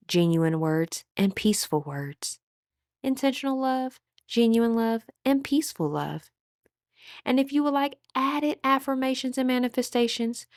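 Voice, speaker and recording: A woman leading a scripted guided meditation as an instructor, with a measured, soothing, instructional delivery. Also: high-pitched, darkish tone, no echo, studio quality.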